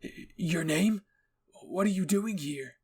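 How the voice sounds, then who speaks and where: Man as a hushed, lonely teenage anime boy. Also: sits high, slow pace, husky, voice pushed up, exaggerated voice. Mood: hushed, sleepy. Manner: hushed, hesitant, soft-spoken. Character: gentle, lonely teenage boy, sleepy and tender. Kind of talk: anime fan-fiction ASMR roleplay.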